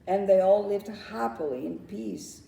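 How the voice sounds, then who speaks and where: Dark-toned woman reading a storybook, echoey room. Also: medium pitch, a little slow, creaky. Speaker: children's author reading own book. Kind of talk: storybook read-aloud narration. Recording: roomy echo, distant microphone.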